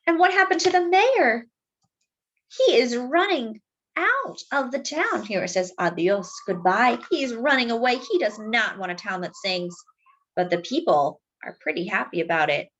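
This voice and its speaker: A woman's voice: a little high, sing-song, measured pace, fairly bright tone, husky, dull audio. A woman hosting a children's storytime, reading a picture book expressively and dramatically for young listeners. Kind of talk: animated picture-book read-aloud.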